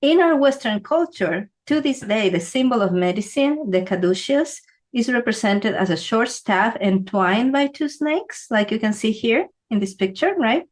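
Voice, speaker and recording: A woman's voice: on the high side, expressive, normal speed. Chatty woman teaching with frequent "right?". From Ecuador. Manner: conversational, asks "right?" often. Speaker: feng shui teacher. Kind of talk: explanatory talk to camera.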